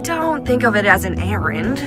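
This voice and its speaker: A woman's voice: mid-range voice, big pitch swings, moderate pace, natural voice. A young woman acting in an audio drama as a sarcastic, teasing superhero sidekick who builds gadgets. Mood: bargaining. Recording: loud music bed behind voice.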